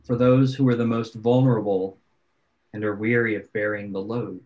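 A man's voice: on the low side, steady tone. Solemn man reading prayers, lo-fi. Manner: measured and solemn. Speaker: sign language interpreter voicing prayers. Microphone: video call. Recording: dull lo-fi video call audio.

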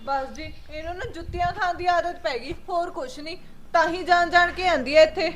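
A woman playing a second wife who excuses herself softly in a family drama. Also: very high voice, steady tone, fairly quick. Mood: defensive. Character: meek second wife, defensive.

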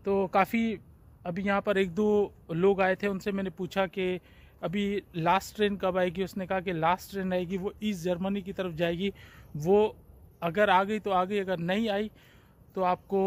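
A man in his forties vlogging to his phone camera on an outdoor train platform at night, in a chatty, relaxed way. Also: sits high, moderate pace. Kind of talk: casual vlog narration. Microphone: phone at arm's length. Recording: faint outdoor background noise.

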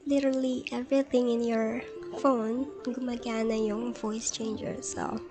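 A woman's voice: fairly high pitch, little pitch movement, moderate pace. A woman with a Filipino accent giving a casual step-by-step tutorial, instructional and often checking that the listener follows. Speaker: tutorial host. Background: music.

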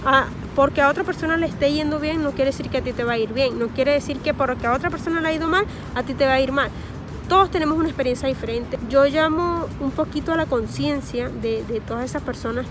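Venezuelan woman in her 30s, high voice, talking to her phone outside in a park, music playing under her. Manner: earnest, repeats words while searching for them. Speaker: vlogger. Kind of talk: advice monologue to camera. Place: park outdoors among trees. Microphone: phone or camera at close range, face masked.

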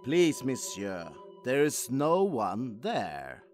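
A man's voice: mid-range voice, big pitch swings, darkish tone, soft background music. Man doing a cowering, pleading stagehand. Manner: slow, pleading. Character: nervous pleading male stagehand.

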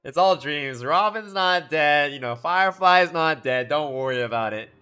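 A young man streaming live reactions to game cutscenes in casual slang, excitable and exclamatory. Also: a little high, normal speed, clean tone. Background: game music.

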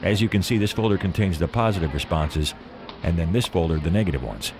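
Deep-voiced affable bank manager. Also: male voice, lively intonation, fast talker, noisy background. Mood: businesslike. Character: loyal, businesslike male bank manager, obliging and proud. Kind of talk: audio drama acting.

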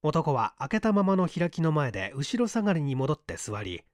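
Male audiobook narrator with lively pitch. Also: moderate pace, no echo, clean studio sound. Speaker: audiobook narrator, stage actor. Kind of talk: scripted literary narration.

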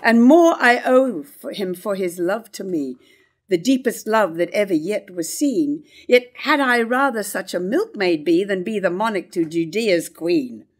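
An older woman playing a conflicted, grieving queen in a staged reading of early modern verse drama, with deliberate, declamatory delivery. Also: mid-range voice, lively intonation. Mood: torn, sorrowful. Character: conflicted grieving queen, torn between love and hate. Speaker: actor in a staged play reading. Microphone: stand microphone at a lectern.

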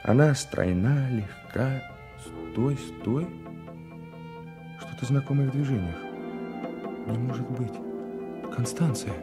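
A man's voice: mid-range voice, takes it slowly, pauses often, close to an everyday voice. An actor playing an impetuous, jealous young French swordsman in love, declaiming emotionally in a radio drama. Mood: jealous, agitated. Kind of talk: theatrical radio drama acting. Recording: radio play with music behind.

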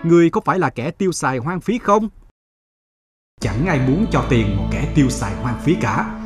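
A man playing a stern, condescending gentleman who questions people before giving alms in a cartoon morality tale. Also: mid-range voice, animated, normal speed, over music, natural voice. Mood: stern, probing.

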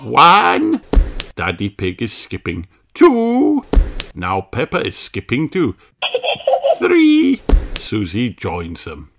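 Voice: low-pitched, animated, unhurried, hardly any pauses, crowd noise, noisy background. A narrator reading a picture book aloud for young children in a storytelling style.

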